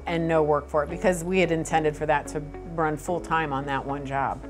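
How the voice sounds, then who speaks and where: Middle-aged woman exec, lowish voice, lapel mic, music bed underneath. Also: steady tone, normal speed. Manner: conversational, many fillers and restarts. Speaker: company president. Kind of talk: shop tour interview. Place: studio set, then machine shop floor.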